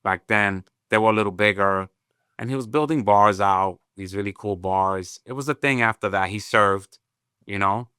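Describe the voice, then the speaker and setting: Man in his fifties, from Puerto Rico, native Spanish speaker, bright clear voice, dry close sound. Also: fairly low pitch, dry recording. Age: 50s. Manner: unhurried, reflective storyteller. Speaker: tech company CEO guest. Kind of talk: casual interview storytelling.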